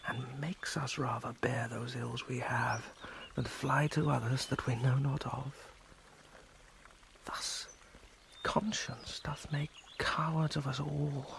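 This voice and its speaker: A man's voice: mid-range voice, creaky, a bit bright, slight background noise. Man acting brooding, tormented Shakespearean prince, theatrical. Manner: theatrical, deliberate with long pauses. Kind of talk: Shakespearean radio drama acting.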